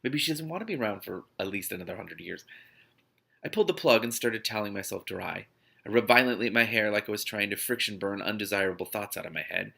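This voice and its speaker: Guy reading a book out loud w/ his own side comments, lowish voice, lots of fry. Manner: expressive, breaks off into amused, exasperated asides. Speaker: host of an online read-aloud series. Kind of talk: reading a novel aloud with spontaneous reactions.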